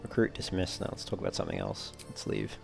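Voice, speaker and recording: Casting: male let's play host, deep creaky voice, casual and musing, soft game music underneath. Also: huge pitch swings, fast talker. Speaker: gaming video host.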